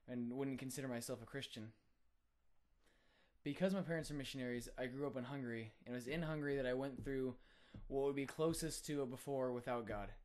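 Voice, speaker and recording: A young man, a college student, sharing a personal faith testimony in an earnest, reflective way with frequent filler pauses. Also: medium pitch. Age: young adult.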